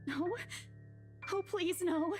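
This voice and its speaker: A man voicing a terrified, pleading young game protagonist in a shrill manner, in a horror visual novel. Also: takes it slowly. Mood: fearful disbelief. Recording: loud music behind the voice.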